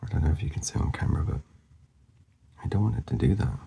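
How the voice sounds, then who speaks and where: A man with a very deep voice gives loose, rambling commentary while he draws. He mumbles softly and often sinks into a near whisper, and his voice is wearing out. The tone is very gravelly and quite dark, with a breathy, husky edge. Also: animated, quick pace. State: losing his voice. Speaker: artist demonstrating a drawing. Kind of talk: casual drawing commentary.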